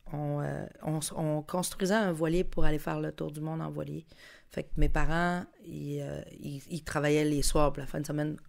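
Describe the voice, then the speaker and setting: A woman in her forties, born in Mexico, with a Lac-Saint-Jean Quebec French accent, telling stories in an animated, casual way with frequent laughs as a podcast guest. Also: sits low, gravelly. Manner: animated, laughs often between phrases, many fillers. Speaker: guest musician. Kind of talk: casual storytelling chat.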